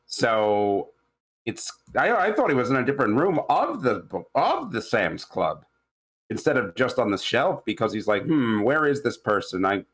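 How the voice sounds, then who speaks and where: Guy with an average pitched voice rambling through feedback, keeps restarting and hunting for words. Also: big pitch swings. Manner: halting, rambling, searching for words. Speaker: table read participant giving feedback. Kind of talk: casual critique.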